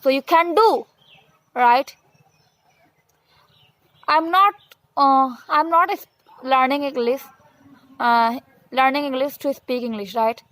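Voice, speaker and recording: A woman, Hindi her native tongue, talks in a high, unhurried voice. Her English is halting and full of restarts, but she keeps repeating her encouragement with earnest conviction. A rooster crows somewhere behind her. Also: a bit bright, dry recording, rough recording. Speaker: vlogger. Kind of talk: motivational monologue, practicing English.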